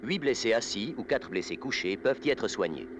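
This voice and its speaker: A man narrating an instructional film in a formal, scripted, measured documentary style. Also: mid-range voice, expressive, background noise. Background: music.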